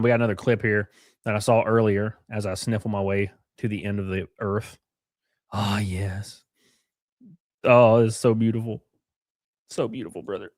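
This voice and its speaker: A man's voice: on the low side, dry recording, clean studio sound. Sarcastic, sniffly male livestream host. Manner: sarcastic, rambling, greets chatters by name. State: sniffly, congested sinuses. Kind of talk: casual reaction livestream chat.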